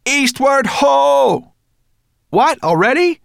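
A man's voice: a little high, moderate pace, no room sound, studio quality, voice pushed up, close to an everyday voice. A man voicing a bossy, grumpy female brownie with a sarcastic, scolding manner, in a children's storybook reading. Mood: brisk, cheery. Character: bossy, grumpy female furry brownie, sharp-tongued and cocky. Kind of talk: children's audiobook character voice.

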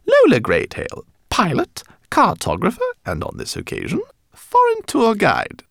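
A man reading an audiobook, voicing a brash, fast-talking female rat pilot in a shrill, squeaky voice. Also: wildly swooping pitch, creaky, a bit bright, dry recording, clean studio sound, full character voice. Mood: chirpy. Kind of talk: audiobook character voice.

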